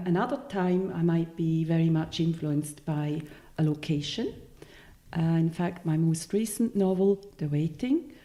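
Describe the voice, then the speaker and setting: A Swiss-born woman with a Swiss German accent, a novelist answering interview questions in a thoughtful, halting way that often corrects itself. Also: lowish voice, dark tone. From Switzerland. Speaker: novelist guest.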